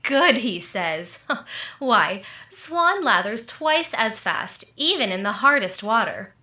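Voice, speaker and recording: A woman performs a confident, chummy sitcom friend with a bright, high voice. Her delivery is emphatic and measured, in old-time radio comedy style with a roomy echo. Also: very animated, full character voice. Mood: emphatic selling. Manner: confident, emphatic, cheerfully insistent. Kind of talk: re-enacted 1940s radio comedy and advert acting. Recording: roomy, echoing voice recording.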